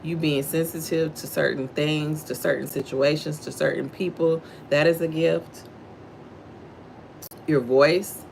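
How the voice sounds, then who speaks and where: A middle-aged woman from Houston, Texas, with a deep voice and a Southern drawl, hosting her own livestream. Her voice has a gravelly, fry-laced texture. She talks low and slow, moving between reading a devotional aloud and greeting her viewers by name. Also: steady tone, darkish tone, a little hiss or hum, rough recording. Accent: Southern US, Texan. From Houston, Texas, USA. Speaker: livestream host. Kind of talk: devotional reading and casual chat with live viewers.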